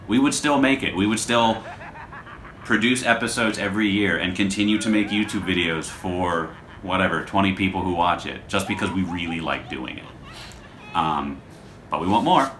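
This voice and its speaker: A man's voice: low-pitched, a bit bright. A man talking off the cuff to camera as host of his show, rambling, introspective and self-deprecating. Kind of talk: casual unscripted vlog talk to camera. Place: hotel room. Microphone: camera held by another person. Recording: echoey room with faint background noise.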